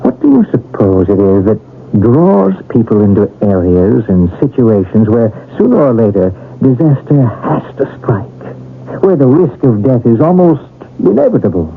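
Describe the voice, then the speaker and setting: A man playing a calm, thoughtful, probing doctor in a 1970s radio drama. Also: medium pitch, moderate pace, vocal fry. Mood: sociable, reflective. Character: Carlos, calm inquisitive male doctor open to the occult. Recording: tinny, noisy 1970s radio recording.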